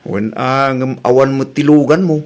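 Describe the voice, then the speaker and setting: A man's voice: medium pitch, fairly even intonation, measured pace, a little mellow, close to an everyday voice. A man playing a suspicious, nagging village husband with an Ilocano accent, questioning and accusatory, in a comedy audio drama.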